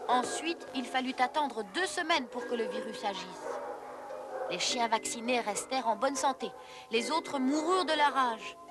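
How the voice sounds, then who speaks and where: A woman's voice: high voice, animated, moderate pace, over loud music. A woman reading scripted narration for an educational cartoon in an explanatory, storytelling manner.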